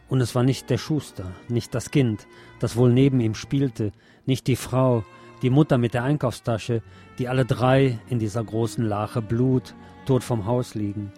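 A man giving a measured, solemn scripted reading of literary prose as narrator, articulating clearly. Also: mid-range voice, steady tone, warm tone, faint music behind. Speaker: narrator and reader.

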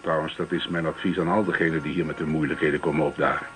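An actor playing an avuncular older village pastor, paternal and knowing, in a Dutch radio drama. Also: male voice, very deep voice, steady tone, quick pace. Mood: reassuring, knowing.

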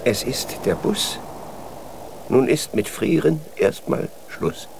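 A man's voice: medium pitch, expressive, slow pace, creaky, loud music behind the voice. A man playing a grumbling, freezing king and father in a children's radio play, terse and plain-spoken. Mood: weary, longing.

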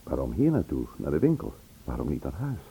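An actor playing a shrewd, curious husband who calmly takes charge in a radio play, questioning in a measured, controlled way. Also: male voice, extremely low pitch, creaky, slightly rough, heavily acted. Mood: probing, firm.

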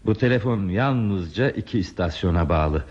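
Low-voiced, lonely railway signalman. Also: expressive, normal speed, darkish tone, lo-fi sound. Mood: plainspoken, reminiscing. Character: lonely, modest railway signalman at a remote post. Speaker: radio play actor. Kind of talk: Turkish radio theatre acting.

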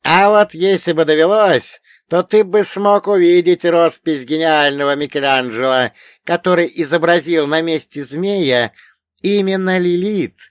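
A man voicing a wise, centuries-old dead grandfather in an audiobook, telling old lore in an emphatic, drawn-out, lecturing way. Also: fairly high pitch, no room sound, studio quality, pitched above the performer's own voice, natural voice. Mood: mysterious. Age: older. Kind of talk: audiobook character voice.

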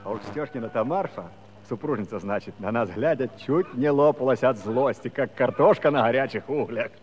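An actor playing a garrulous old Cossack villager, telling a comic tale with pompous, folksy turns of phrase. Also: male voice, mid-range voice, brisk, natural voice. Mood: self-important. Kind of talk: comic storytelling in a musical radio play. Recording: dull, noisy old 1970s recording.

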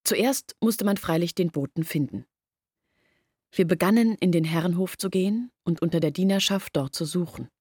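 Olga: young village woman, low and earnest, dead-dry close mic. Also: normal speed, a little mellow, husky, no room sound. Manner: earnest confessional telling. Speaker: lead character in radio play. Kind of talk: radio drama acting.

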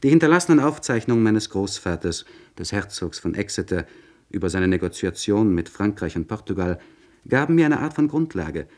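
An actor playing a troubled, introspective young aristocratic poet, speaking formally and eloquently in a literary radio monologue. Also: male voice, on the low side, sing-song, brisk, a little mellow. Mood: wistful, fervent. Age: young adult. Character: troubled, introspective 26-year-old aristocratic English poet writing a letter. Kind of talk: literary radio monologue, letter read aloud.

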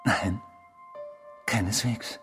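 A man's voice: on the low side, drawn-out words, music in the background. A male actor in a German radio drama playing an intrusive, obsessive stranger who talks insistently and theatrically. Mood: brooding, reminiscing. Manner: insistent, theatrical, probing, swinging from hushed to emphatic.

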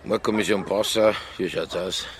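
An actor playing a stubborn, world-weary veteran homicide detective in a radio play, with a gravelly voice, a Bavarian accent and a laconic manner. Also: male voice, sits low, fairly even intonation, moderate pace, roomy sound, slight background noise, natural voice. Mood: gruff, insistent. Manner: laconic, dry, unbothered. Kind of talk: radio drama acting.

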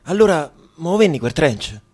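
A man's voice: fairly high pitch, very animated, normal speed. A man acting a coaxing, cheeky street hustler with a Roman accent in a radio drama. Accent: Roman Italian. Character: wheedling street hustler bargaining for a coat. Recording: loud music under the voice.

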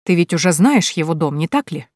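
A woman's voice: on the low side, very animated, a little fast, a little mellow, dry recording. A woman voicing a scheming young man in debt who talks in a curt, sneering way. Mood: spiteful. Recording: dry close recording.

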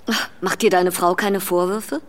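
A woman's voice: on the low side, fairly even intonation, a little slow, natural voice. An actress playing a seductive, bold married woman in a radio drama, intimate and coaxing. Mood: flirtatious. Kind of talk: German radio drama acting.

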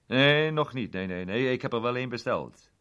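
A man's voice: medium pitch, expressive, normal speed, a bit bright, smooth, pitched above the performer's own voice, lightly acted. A man voicing a chatty, plain-spoken male garage owner who rambles with fillers. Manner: rambling, homely, full of fillers.

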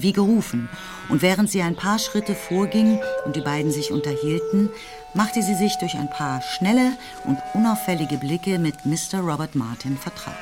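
A woman giving scripted literary narration for a radio play. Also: sits low. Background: music.

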